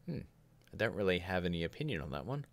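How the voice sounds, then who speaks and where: A man with a deep voice hosts a casual live Q&A. He rambles from one answer to the next, candid and opinionated, slipping in jokey asides. The sound is dry, with very little room around the voice. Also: sing-song, fast, no echo. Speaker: livestream host of a filmmaking show.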